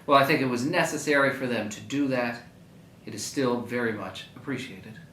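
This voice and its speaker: A man's voice: brisk, pushed and bright. Man giving an address, echoey room. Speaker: mayor giving a public address. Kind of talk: prepared public service update. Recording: echoey room with faint low rumble.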